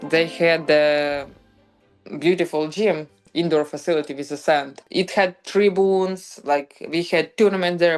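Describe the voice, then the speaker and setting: Low-voiced Ukrainian woman, faint music. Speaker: professional beach volleyball player. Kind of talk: recorded interview.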